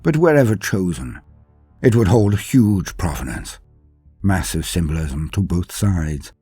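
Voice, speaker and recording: A man narrating a dramatic first-person war story as a grim, brooding space marine commander, grave and deliberate, with theatrical pauses. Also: deep voice, sing-song, mellow, breathy edge, no echo, faint music behind. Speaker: lore channel narrator.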